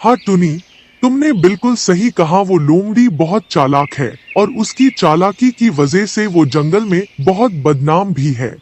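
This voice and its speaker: A man voicing a loyal, watchful crow, an earnest friend in an animated animal fable. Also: sits high, measured pace. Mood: concerned, resolute.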